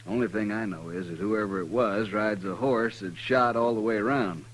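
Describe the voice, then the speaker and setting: An actor playing a modest small-time cattle rancher in a 1950s radio western, with a plain, reserved Western American way of speaking. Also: male voice, fairly low pitch, normal speed, natural voice. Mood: troubled, reluctant.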